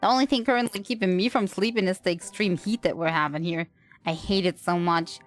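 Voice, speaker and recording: A woman's voice: fairly low pitch, a little fast. A woman livestreaming a game, reacting casually with wry, playful commentary. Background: faint game music.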